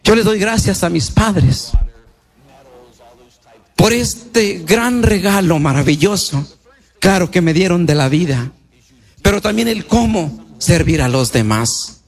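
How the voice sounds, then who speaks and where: A male priest speaks in a notably high voice. He preaches a personal testimony in plain, colloquial and devout words, often repeating a phrase to stress it. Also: expressive, takes it slowly, long pauses, faint music behind.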